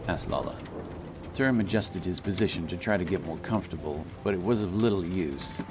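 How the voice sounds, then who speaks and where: A man narrating a fantasy audiobook in a measured, solemn storytelling manner. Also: low-pitched, background noise. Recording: loud music behind the voice. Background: loud music.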